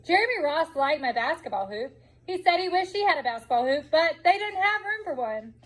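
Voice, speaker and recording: A woman reading a children's picture book aloud in an expressive storytelling way, drawing out words for emphasis. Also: sits high, fairly quick, poor audio. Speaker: storybook reader.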